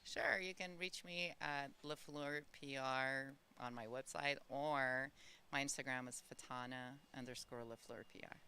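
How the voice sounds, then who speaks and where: A woman's voice: rumbling low, fairly even intonation, a little slow, pushed and bright. A woman originally from Afghanistan with a foreign accent, chatting effusively and earnestly as a guest in a casual podcast interview. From Afghanistan, grew up in California's South Bay. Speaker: guest, publicist and boxing fitness promoter. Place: live golf charity event. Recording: clear background noise at live event.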